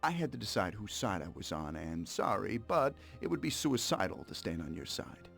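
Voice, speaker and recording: A man's voice: lowish voice, very animated, moderate pace, gravelly, a bit bright, faint music behind, exaggerated voice. An actor playing a smug, cold-blooded mobster in a game cutscene, mocking and sardonic as he menaces an old partner. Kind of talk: dramatic video game cutscene acting.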